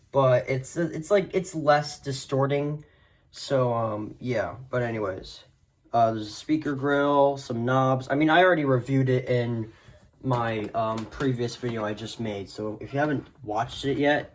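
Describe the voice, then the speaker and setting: Guy doing a casual show and tell, kinda rambly and unsure, trails off a lot. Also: mid-range voice. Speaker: video creator showing a radio collection. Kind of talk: casual collection showcase chat.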